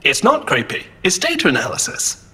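Pedantic, husky-edged robot strategist. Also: male voice, high-pitched, huge pitch swings, a little fast, sharp tone, heavily acted. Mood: defensive, pedantic. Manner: clipped, analytical, sarcastic. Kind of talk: science-fiction audio drama acting.

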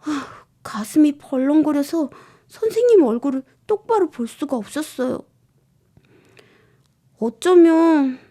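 A woman voicing an indignant third-grade schoolboy who tells his story in an earnest, plaintive, confiding way, as in an expressive children's storybook reading. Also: sits high, pitched above the performer's own voice. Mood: uneasy, defiant.

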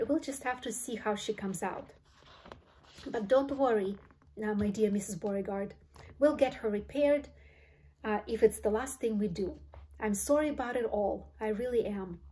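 A woman's voice: fairly high pitch, moderate pace, darkish tone, close to an everyday voice, poor audio. A woman voicing a fretful, apologetic male candy inventor who sighs and stammers over his words. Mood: brisk, apologetic. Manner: sighing, stammering repetitions.

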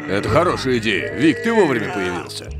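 A man voicing a sensible, fair-minded forest animal in an animated cartoon, earnest and reasoning. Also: fairly low pitch, fairly quick. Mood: earnest, admonishing. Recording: loud music under the voice.

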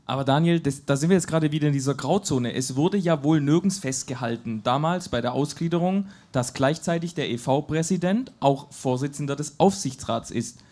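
A man's voice: fairly high pitch, brisk, hardly any pauses, darkish tone. A man hosting a live football panel, putting pointed, provocative questions to his guests into a handheld mic. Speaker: host. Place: pub stage before a live audience.

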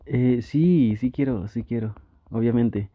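A man with a Mexican accent playing a flustered, easily tempted gamer boyfriend in an intimate ASMR roleplay, talking in a halting, coaxing way. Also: medium pitch, no room sound, lo-fi sound. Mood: flustered, pleading.

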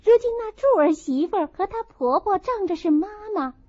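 A woman voicing a sharp-tongued, indignant young noblewoman in an audiobook, with forceful, pointed delivery. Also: very high voice, lively intonation, normal speed, pitched above the performer's own voice. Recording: dull lo-fi dry recording.

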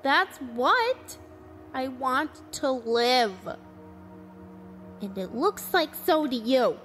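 Woman acting a slow-talking, cynical invasion survivor. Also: sits high, big pitch swings, a bit bright, soft background music, full character voice. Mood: defiant, scheming. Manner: blunt, folksy, clipped phrasing. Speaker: actor in an amateur radio drama. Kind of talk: radio play dialogue.

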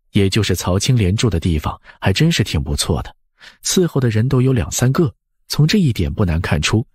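一位男性有声书播讲人，用富有表现力的方式照稿讲述故事。. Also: male voice, on the low side, slightly rough, no room sound, clean studio sound. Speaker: audiobook narrator. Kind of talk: expressive scripted story narration.

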